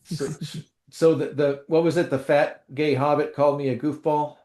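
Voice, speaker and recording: A man's voice: fairly high pitch, little pitch movement, normal speed, a little mellow, echoey room. A man co-hosting a casual chat, telling rambling, self-deprecating stories with lots of restarts. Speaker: co-host.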